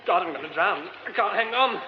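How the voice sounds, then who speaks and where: Young theatre man, self-muttering, wry and stammering, high bright pitch, dull radio sound with clear hiss. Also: animated, fairly quick. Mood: panicked. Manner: muttering to himself, wry, later frantic stammering. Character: young male theatre man exploring a derelict theatre. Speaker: lead character. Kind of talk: radio drama acting.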